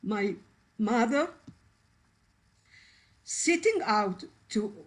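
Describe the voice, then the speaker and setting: Woman, native Iraqi Judeo-Arabic speaker, halting and emotional, reading aloud, slow pace, video call. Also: mid-range voice, lively intonation. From Israel. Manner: halting, searching for English words, emotional. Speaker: actress and translator, guest speaker.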